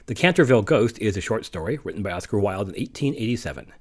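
A man's voice: on the low side, big pitch swings, fast talker, husky. A man hosting a podcast, reading an informal, explanatory introduction from notes. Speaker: podcast host.

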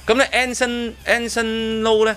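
A man's voice: very high voice, flat delivery, measured pace, a bit bright. A man with a Hong Kong Cantonese accent hosting casual radio chat, often repeating words and restarting as he reviews a performance. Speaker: radio host. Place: radio studio.

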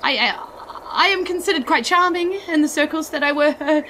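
A woman's voice: sits high, fairly quick, pushed and bright, a little hiss or hum, voice pushed up. A woman voicing a flustered, self-important male bird who stammers politely in a tabletop game. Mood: flustered, stammering.

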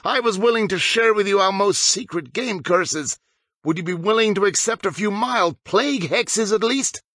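A man's voice: high voice, normal speed, fairly bright tone, no echo, pitched above the performer's own voice. A man voicing a scornful, scheming teenage boy team captain in an audiobook story. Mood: disgusted, scheming. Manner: disdainful, scheming.

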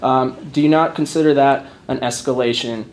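A man's voice: medium pitch, steady tone, moderate pace, darkish tone. A man activist putting pointed political questions in an articulate, challenging way. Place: conference room, camera mic. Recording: faint room noise.

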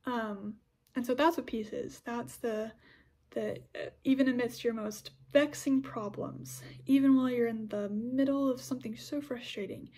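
A woman's voice: fairly high pitch, steady tone, moderate pace, dark tone. A young woman in her twenties hosting a podcast, talking in a chatty, rambling way with many restarts. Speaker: podcast host. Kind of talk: casual scripture discussion podcast.

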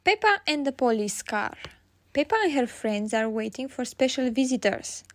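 A woman reading children's stories aloud in an expressive way, singsong in the refrains. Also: a little high, normal speed. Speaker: children's storybook reader. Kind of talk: read-aloud narration for young children.

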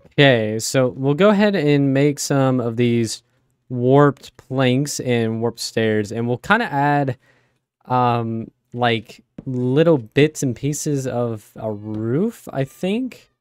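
A young man with a raspy voice from a cold, rambling casually with many fillers as he plays a game. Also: medium pitch, dry recording, studio quality. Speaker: let's play host. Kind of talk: casual gameplay commentary.

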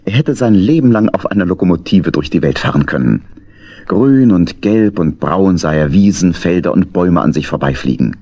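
A man's voice: low voice, lively intonation, moderate pace, dark tone. A man narrating a scripted children's story in German as the storyteller of an audio play.

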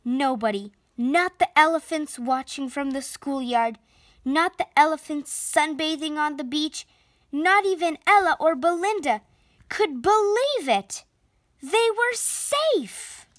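Young girl doing a storybook read-aloud, high voice, slow and expressive, really dry sound. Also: no room sound. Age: child, preteen girl. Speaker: storybook reader and channel host. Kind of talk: children's picture book read-aloud. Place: indoor room at home, on a sofa.

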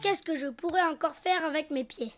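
A woman's voice: high voice, normal speed, noisy background, lightly acted. A woman voicing a cheerful, imaginative little boy donkey in a French preschool cartoon, playfully talking to himself. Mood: playful, self-amused. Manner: playful, self-narrating, exclaiming.

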